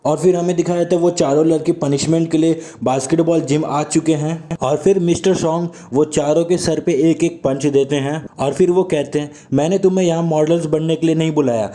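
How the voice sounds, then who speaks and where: A man's voice: fairly high pitch, warm tone. A young man with a sore throat, casually recapping an anime and reading the characters' lines in his plain voice. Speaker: anime explainer narrator.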